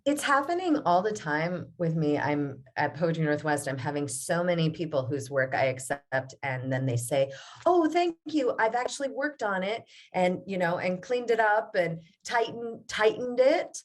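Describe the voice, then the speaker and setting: A woman's voice: lowish voice, lively intonation, normal speed. A woman poetry editor speaking casually and emphatically in a panel discussion, repeating phrases for stress. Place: remote video call.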